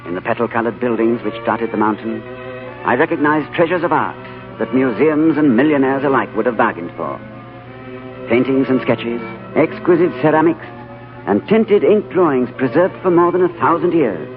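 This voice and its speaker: An actor playing a composed, shrewd gentleman in an old radio drama, urbane, courteous and polished in speech and narration. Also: mid-range voice, animated, normal speed, close to an everyday voice. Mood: reflective. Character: cultured, composed, shrewd British diplomat narrator. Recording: muffled vintage radio broadcast with music.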